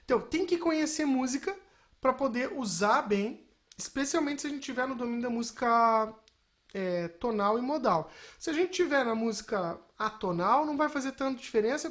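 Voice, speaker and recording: Higher-voiced woman teaching. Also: expressive, moderate pace. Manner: explanatory, often checking in with short tag questions. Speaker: music technology teacher. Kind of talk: informal spoken software lesson.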